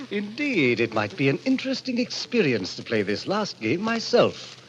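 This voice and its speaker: An actor playing a suave, sinister club president, speaking with formal, urbane condescension in a 1940s radio mystery. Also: male voice, high voice, wildly swooping pitch, a little slow, dull audio, heavily acted. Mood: haughty, intrigued. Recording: old radio recording, faint noise.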